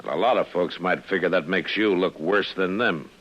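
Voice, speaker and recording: An American actor playing a firm, level-headed lawman in a 1950s radio western, speaking in a measured, plain way with authority. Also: low voice, fairly bright tone, breathy edge. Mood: calm, reasoning. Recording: dull vintage radio recording.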